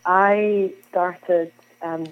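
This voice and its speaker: A woman's voice: lowish voice, fairly even intonation, drawn-out words. A young Scottish woman from the Hebrides, a whisky brand ambassador, chatting as an interview guest with frequent "um"s. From Scotland, Isle of Lewis. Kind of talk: conversational interview answers. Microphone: phone call. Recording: tinny phone line.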